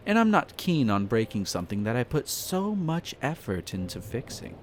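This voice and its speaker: A man playing a possessive, flirtatious vampire master in a roleplay audio drama, speaking formally and condescendingly with theatrical pauses. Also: mid-range voice, big pitch swings, close to an everyday voice. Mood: hungry, teasing. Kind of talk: scripted roleplay audio drama, addressing the listener. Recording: music behind the voice. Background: background music.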